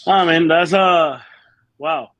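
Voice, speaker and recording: Halting Cuban-born metal singer, dry sound. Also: male voice, a little high, a bit bright, dry recording, dull audio. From Cuba. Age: 30s. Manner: halting, many fillers and restarts. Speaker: band singer and bassist. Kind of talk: casual interview answers.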